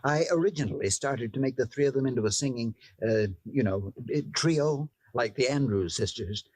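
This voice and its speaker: A man's voice: mid-range voice, moderate pace, darkish tone, dry recording, lightly acted. An actor playing a grandiose, earnest male showman with a theatrical, self-important manner in old radio comedy-drama style. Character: grandiose, earnest showman who trains singing mice.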